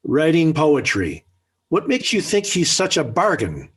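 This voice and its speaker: Hot-tempered, husky-voiced radio dad. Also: male voice, a little high, wildly swooping pitch, unhurried, exaggerated voice. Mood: indignant, sarcastic. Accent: American. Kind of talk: 1940s radio sitcom acting. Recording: old 1940s radio recording.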